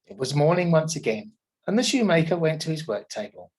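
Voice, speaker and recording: An English teacher reads a fairy tale aloud to young children, with expressive storytelling. His voice is a little high and quite breathy. The delivery rises and falls in a sing-song way. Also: a little fast, rough recording. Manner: expressive storytelling with questions to listeners.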